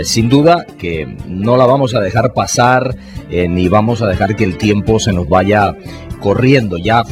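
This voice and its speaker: A man hosting a live radio talk show, greeting listeners formally and pausing often with "eh". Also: medium pitch. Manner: warm formal greetings with frequent "eh" fillers. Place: radio studio.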